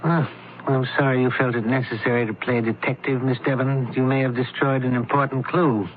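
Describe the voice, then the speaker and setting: An actor playing a brisk, shrewd doctor turned investigator in an old-time radio mystery, questioning with clipped authority. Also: male voice, medium pitch, little pitch movement, close to an everyday voice. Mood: measured, shrewd. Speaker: investigator character. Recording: narrow, tinny old radio recording, hiss.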